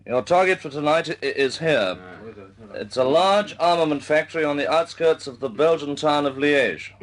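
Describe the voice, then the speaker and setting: An actor playing a polite senior air force officer in a wartime radio drama, halting, courteous and understated. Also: male voice, a little high, lightly acted. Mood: halting, measured.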